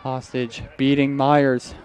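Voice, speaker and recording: A young man doing live play-by-play for a night soccer game outdoors, his voice strained, getting louder on attacks and correcting himself often. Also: medium pitch, completely flat delivery, slow. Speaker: play-by-play commentator. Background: crowd noise.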